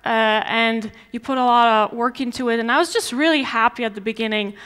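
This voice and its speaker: A woman's voice: fairly high pitch, brisk, a bit bright, clear, resonant tone. Polish-accented woman giving a conference talk. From Poland. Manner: conversational with frequent restarts and fillers. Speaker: conference speaker. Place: large dark conference stage before an audience.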